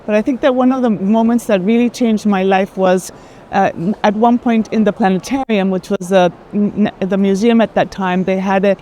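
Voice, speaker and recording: A woman with a Colombian accent, speaking thoughtfully in conversation on an art fair tour, often pausing and repeating words. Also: mid-range voice, steady tone, warm tone. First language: Spanish. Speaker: art advisor. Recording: faint urban ambient noise.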